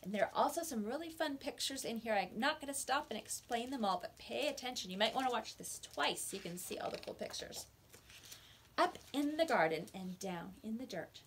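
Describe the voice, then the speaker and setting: A woman with a slightly high voice reads a storybook aloud for young children. Her delivery is expressive and swings widely in pitch, as a teacher's does when stressing the key words of a tale. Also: brisk, slight background noise. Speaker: teacher reading picture books aloud.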